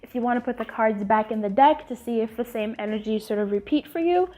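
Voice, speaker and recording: A woman's voice: fairly high pitch, fast, mellow. A woman hosting her channel, with a sniffly, congested voice, chatting casually through a tutorial and rambling with fillers and restarts. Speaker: channel host.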